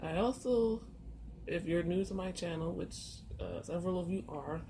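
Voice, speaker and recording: A woman with a sore throat giving a casual, unhurried walkthrough in her apartment. She pauses often and fills gaps with soft hesitations. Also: on the low side. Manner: unhurried, halting, with many filler pauses. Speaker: tarot and oracle card content creator. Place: first-floor apartment on a busy street. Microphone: camera. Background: faint street noise.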